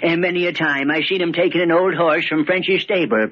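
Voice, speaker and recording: An actor playing a sly, needling old crony with a husky voice in an old-time radio drama. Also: male voice, fairly high pitch, steady tone, a little fast, lightly acted. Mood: sly, gleeful. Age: older. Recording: muffled old radio recording.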